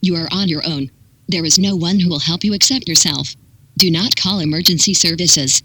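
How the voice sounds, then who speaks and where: A synthetic text-to-speech voice reading a scripted public warning in an impersonal, measured manner with clipped instructions. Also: mid-range voice, sharp tone, slight background noise. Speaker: emergency broadcast announcer.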